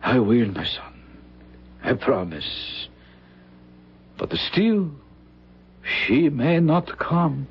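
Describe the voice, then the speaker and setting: An actor playing a grave, kindly old village priest who tells dark tales solemnly, in old-time radio drama style. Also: male voice, mid-range voice, big pitch swings, slightly rough, full character voice. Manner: solemn, deliberate storytelling with dramatic pauses. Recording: dull vintage radio broadcast recording.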